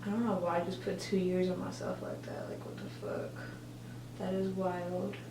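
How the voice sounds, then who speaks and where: A woman's voice: fairly low pitch, animated, moderate pace. A woman in her twenties chatting casually while doing her makeup, rambling and correcting herself, with lots of filler words. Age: 20s. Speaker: college student vlogger. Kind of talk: casual get-ready-with-me chat. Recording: roomy echo, distant mic, faint hum.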